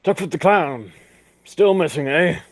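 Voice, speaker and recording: Radio-play sidekick: pompous, excitable old-school gentleman, highish, very animated delivery. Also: measured pace, no echo. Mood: puzzled. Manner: pompous, exclamatory, affected old-time gentleman's diction. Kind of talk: 1940s radio play acting.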